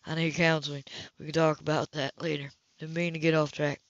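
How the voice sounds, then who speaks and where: Woman reader as blunt, smitten romance hero, audiobook, low register, insistent and earnest. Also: flat delivery, a little fast, dry recording, dull audio, close to an everyday voice. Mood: sincere, insistent.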